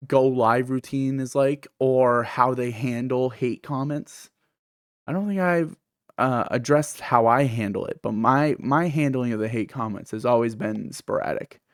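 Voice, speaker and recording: A man's voice: mid-range voice, clean tone, no room sound, clean studio sound. A man with a lisp who says th as f, hosting a casual solo podcast in a candid, self-deprecating, rambling way. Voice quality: th pronounced as f. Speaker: podcast host and streamer.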